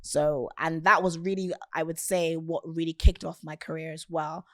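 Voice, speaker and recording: Relaxed woman with a low nasal voice. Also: normal speed, dry recording, studio quality. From Nigeria. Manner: relaxed, filler-heavy storytelling with frequent "like" and "um". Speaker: podcast guest. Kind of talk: casual interview chat.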